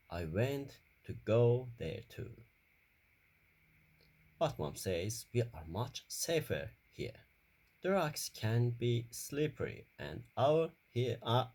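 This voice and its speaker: A man reading a children's story aloud in careful, deliberate short phrases. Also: medium pitch, a little mellow.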